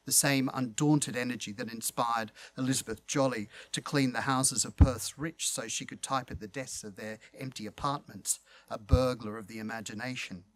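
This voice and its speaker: A man reading a prepared literary talk aloud as a speaker and host, in measured, formal and eloquent phrasing. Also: medium pitch.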